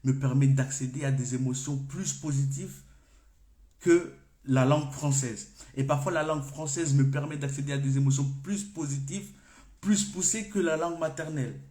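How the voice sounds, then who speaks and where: A Comorian-speaking man giving a loose, off-the-cuff coaching talk, addressing the viewer informally. He works through his ideas out loud and restarts his sentences as he goes. His mid-range voice rings in a roomy, echoing space. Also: mid-range voice, fairly even intonation, normal speed. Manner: thinks aloud, informal, stops and restarts phrases. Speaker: personal development coach. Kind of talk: unscripted coaching talk to camera. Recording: echoey room.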